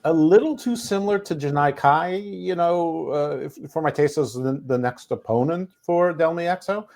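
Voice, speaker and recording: A man's voice: a little high, moderate pace, darkish tone. Chatty man rambling through a wrestling review. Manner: rambling, chuckling, many restarts. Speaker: podcast co-host. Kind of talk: casual wrestling review chat.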